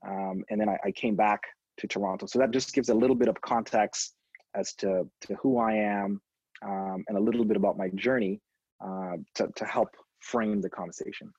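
A man from Trinidad and Tobago with a low, husky voice answers interview questions thoughtfully. He pauses on ums and restarts his words as he thinks. The sound is dull, lo-fi and dry. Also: steady tone, darkish tone, no room sound. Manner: reflective, frequent ums and repeated words. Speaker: guest, education innovation consultant. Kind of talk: conversational interview.

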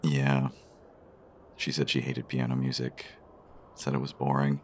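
An actor playing a shy, smitten diner customer in a romance audio drama, speaking in a hesitant, bashful way. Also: male voice, extremely low pitch, completely flat delivery, a little slow, a little hiss or hum. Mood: wistful.

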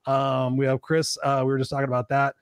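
A man's voice: mid-range voice, level tone, fast talker, vocal fry, smooth, no echo. A man hosting a live online talk show, rambling and upbeat with many fillers. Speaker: host.

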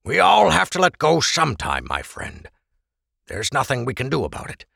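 Grumbly nagging barman pal, voiced by a guy, gravelly, husky, bit higher than the narration. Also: wildly swooping pitch, normal speed, sharp tone, dry recording, studio quality, exaggerated voice. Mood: consoling. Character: gruff, fussing male barman, loyal old friend.

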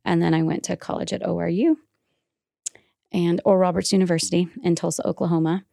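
Chatty woman with low, creaky voice. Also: level tone, fast, dark tone, dry recording, clean studio sound. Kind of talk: casual chat.

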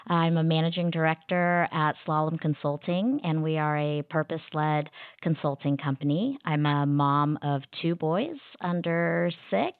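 Thirties woman from kansas, low smooth voice, earnest and reflective, sounds like a phone line. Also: no echo. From Kansas, USA. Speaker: guest, consulting managing director. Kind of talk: podcast interview. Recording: tinny phone-like line, dry.

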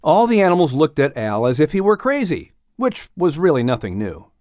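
Male storyteller for children's audiobook, expressive scripted read, lively melody, dry intimate sound. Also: a little high, moderate pace, no room sound. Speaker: audiobook narrator.

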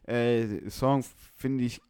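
A man's voice: mid-range voice, steady tone, drawn-out words, darkish tone, clean tone, no echo, studio quality. A young man from northern Germany chatting casually about music, slangy, blunt and opinionated. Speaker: music producer, podcast guest.

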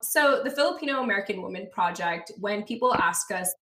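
Bright, chatty host in an echoey room. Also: female voice, mid-range voice, expressive, brisk. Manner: chatty, laughing, lots of "like". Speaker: podcast host. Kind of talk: casual chat. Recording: roomy echo.

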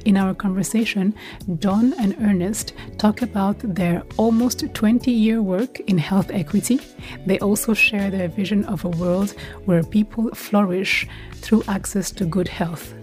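A woman's voice: mid-range voice, fairly even intonation, a little slow, darkish tone. Female podcast host, mid-range voice, scripted presenting style, light music underneath. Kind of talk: scripted podcast introduction and interview questions.

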